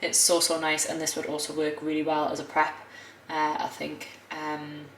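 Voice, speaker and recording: A young woman with a northern English accent, a bit croaky, chatting casually to camera as a food vlogger in her home kitchen, with lots of fillers and quick self-corrections. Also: low voice, completely flat delivery, echoey room, slight background noise, rough recording. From northern England.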